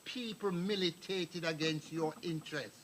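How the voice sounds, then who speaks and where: A man's voice: on the high side, measured pace, a bit bright, slight background noise. An older man giving a rambling, anecdotal address to a community meeting, calling on people in the room. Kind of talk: public address to an audience. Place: meeting hall.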